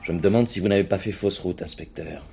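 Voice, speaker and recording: A man's voice: low voice, gravelly, darkish tone, soft background music. An actor playing a formal, cautious male magistrate in a French radio play, choosing his words properly. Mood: sceptical. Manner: formal, measured wording. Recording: noisy background ambience.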